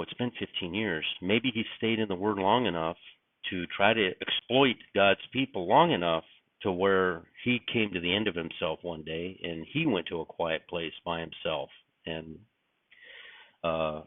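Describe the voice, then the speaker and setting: Raspy man, just up, low pitch, rambling and musing, tinny dry recording. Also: normal speed, no echo. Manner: rambling, musing, frequent restarts and fillers. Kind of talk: casual spoken reflection. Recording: dry, tinny phone-like sound.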